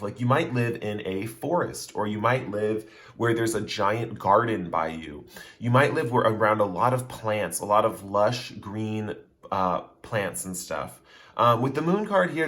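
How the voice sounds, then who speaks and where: A man with a fairly low voice reads tarot cards in a relaxed, chatty way. He talks directly to the viewer, and his sentences wander and circle back to repeat each point. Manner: chatty, speaks straight to the viewer; loose and rambling, restating points. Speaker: tarot reader. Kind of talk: casual pick-a-card tarot reading.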